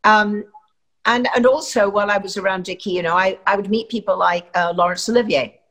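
A woman's voice: mid-range voice, fairly quick, a bit bright. An older woman from England, an actress, giving chatty, rambling interview answers full of fillers and restarts.

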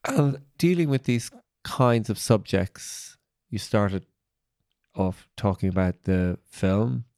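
A man with a slightly low, creaky voice works as an interviewer in a very dry recording. He speaks conversationally, building his questions tentatively, piece by piece. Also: expressive, slow pace, darkish tone, no echo. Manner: tentative, long questions built in fragments. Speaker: interviewer, podcast host.

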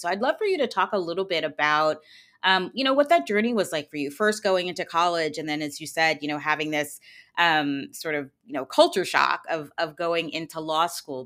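Low-voiced American woman hosting an interview. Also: fairly bright tone. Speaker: podcast host and law school dean. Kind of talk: conversational podcast interview.

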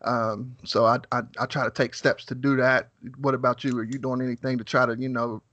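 A man in his thirties raised in West Virginia, hosting a podcast with a nervous, halting manner and lots of "man" and "you know what I'm saying". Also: mid-range voice, flat delivery, fairly bright tone, highs cut off. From West Virginia, USA. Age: 30s. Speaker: podcast host. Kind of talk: casual interview.

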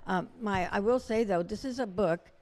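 A woman's voice: medium pitch, normal speed, darkish tone. Older woman telling family stories, earnest. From Ukraine. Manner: earnest, storytelling, many fillers. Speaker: guest journalist. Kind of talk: casual interview chat.

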